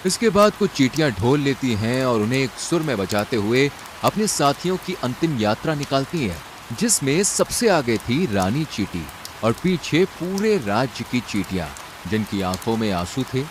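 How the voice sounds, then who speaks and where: A man's voice: mid-range voice, very animated, moderate pace, few pauses, noisy background. A man narrating a scripted cartoon story.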